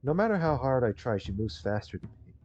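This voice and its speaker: A man's voice: medium pitch, very animated, fast talker, a little mellow. A man reading visual novel text aloud as dramatic first-person narration, in a theatrical way with the odd stumble. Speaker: gaming video creator. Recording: dull, muffled lo-fi recording. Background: game music.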